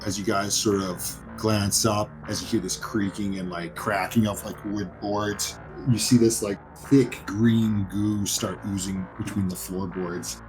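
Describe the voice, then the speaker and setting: A man's voice: fairly low pitch, steady tone, measured pace, low-quality recording. A man working as game master, narrating a horror tabletop roleplaying game in a conversational, descriptive way. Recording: music behind the voice. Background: music.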